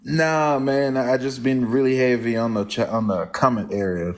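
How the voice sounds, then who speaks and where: A man from the Congo whose first language is French, speaking with a Congolese French accent as a caller making his points in an earnest, measured, orderly way. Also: mid-range voice. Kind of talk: earnest call-in opinion.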